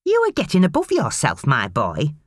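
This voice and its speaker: A woman's voice: deep voice, wildly swooping pitch, normal speed, no echo, clean studio sound, exaggerated voice. A woman voicing a spiteful mother who scolds and rants at her son. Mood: scolding. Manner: sharp, scolding, ranting.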